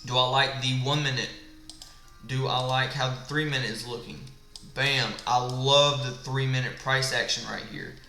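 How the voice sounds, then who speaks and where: Tired man with a bright, gravelly voice. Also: mid-range voice, level tone, normal speed. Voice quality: gravelly, smoker-like voice. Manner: thinks aloud, asks and answers his own questions. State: tired late at night. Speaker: trading instructor. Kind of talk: live screen-share trading walkthrough. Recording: echoey room with faint background music.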